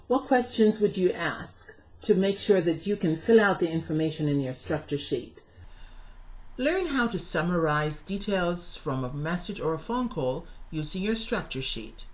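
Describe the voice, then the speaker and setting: A middle-aged woman teacher narrating a scripted lesson, enunciating carefully and stressing key words. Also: on the low side. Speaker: English teacher and presenter.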